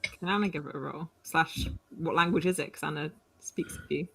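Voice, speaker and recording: A woman's voice: sits low, a little fast, creaky, a crowd in the background, poor audio. A woman chatting casually as a player in a tabletop roleplaying game.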